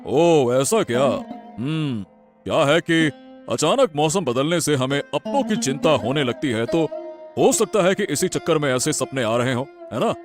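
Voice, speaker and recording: A man playing a concerned, authoritative king in a Hindi story drama, with an expressive, deliberate delivery. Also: a little high, close to an everyday voice. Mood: concerned, soothing. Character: concerned, authoritative king reassuring his queen. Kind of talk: Hindi moral-story audio drama acting. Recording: music behind the voice.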